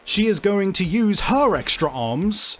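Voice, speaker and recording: A man's voice: high-pitched, very animated, unhurried, fairly bright tone. A man improvising narration as a game master, descriptive and often searching for his words. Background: faint music.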